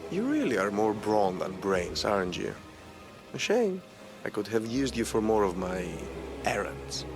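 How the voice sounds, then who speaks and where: An actor playing a suave, theatrical schemer who taunts pompously in a video game. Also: male voice, lowish voice, wildly swooping pitch, measured pace, full character voice. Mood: taunting. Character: suave, theatrical, mocking villain, male schemer. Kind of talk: video game cutscene acting. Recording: background music under the voice.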